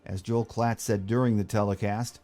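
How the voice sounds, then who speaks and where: A man's voice: lowish voice, level tone, moderate pace, mellow, soft background music. A man hosting a sports podcast, giving his commentary and interviewing a guest. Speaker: podcast host.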